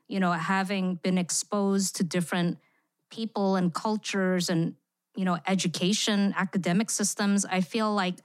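A woman's voice: mid-range voice, smooth, dry recording. Reflective woman with a dry, close sound. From born in Indonesia, raised in Singapore. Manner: reflective, frequent "you know" fillers. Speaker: guest, immersive experience writer. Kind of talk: podcast interview.

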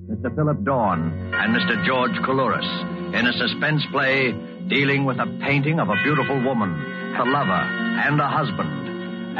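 Man narrating old radio over loud music. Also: mid-range voice, highs cut off. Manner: measured, dramatic. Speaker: announcer and narrator. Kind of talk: scripted 1940s radio narration.

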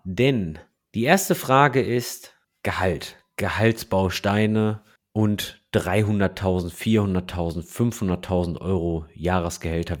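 German guy co-hosting a tech podcast, lowish voice, easygoing chatter. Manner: lively, chatty storyteller. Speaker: podcast co-host. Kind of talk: casual podcast chat.

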